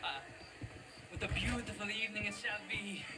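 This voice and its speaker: High-pitched, fawning Italian henchman acted by a man. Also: loud music behind the voice, natural voice. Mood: effusive. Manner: effusive, halting, servile. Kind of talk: cinematic video game acting.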